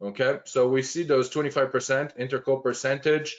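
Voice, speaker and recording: A man walking through planning software on a shared screen, explaining step by step with frequent fillers and restarts. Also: medium pitch, level tone, brisk, dull audio. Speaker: software trainer.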